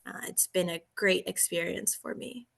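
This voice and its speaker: Bright-sounding lady cop from argentina, playful and chatty, on a podcast call. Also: lowish voice, normal speed, vocal fry. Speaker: guest police officer. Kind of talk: casual podcast interview.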